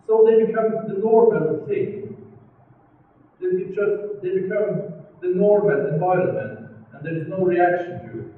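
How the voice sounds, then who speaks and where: A man from North Norway with a Northern Norwegian accent, giving a conference keynote slowly and deliberately with dry jokes. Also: sits high. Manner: slow, deliberate, dry joking. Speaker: keynote speaker. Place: large conference hall. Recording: echoey hall, far mic, tinny, faint hiss.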